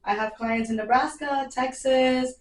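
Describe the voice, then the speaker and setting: A woman's voice: high-pitched, fairly even intonation, a little slow, slight background noise. A young woman, an immigration lawyer explaining things plainly and reassuringly in casual podcast chat. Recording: roomy, mic a bit far.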